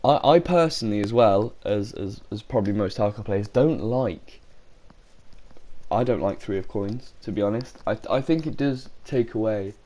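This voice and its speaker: A man's voice: fairly low pitch, normal speed, warm tone. A man with a sore throat, talking casually about a game in his own video and often correcting himself. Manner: rambling, frequent self-corrections. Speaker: gaming YouTuber.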